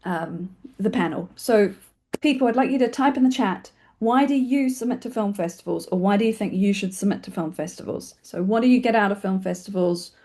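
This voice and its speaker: A woman with a New Zealand accent, talking fast and informally as she hosts an online webinar, with a chatty, self-deprecating manner. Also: medium pitch, dark tone. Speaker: webinar host and film community organiser. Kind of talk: informal spoken webinar presentation. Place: online video call.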